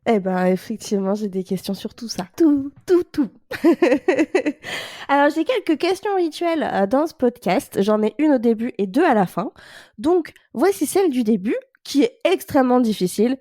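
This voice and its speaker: A woman hosting a podcast interview, chatty and quick to laugh, with many fillers. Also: sits high, sing-song, dark tone, no echo, clean studio sound. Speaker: podcast host.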